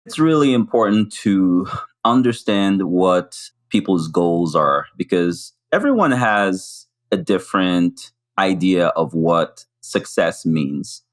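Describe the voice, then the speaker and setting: Very deep-voiced calm man. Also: takes it slowly. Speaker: guest. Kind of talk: measured interview answer.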